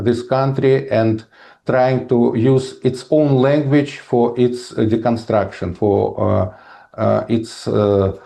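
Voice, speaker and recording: An older man from Russia with a Russian accent, a lecturer answering audience questions in a halting way with many hesitations. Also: fairly low pitch, gravelly, mellow.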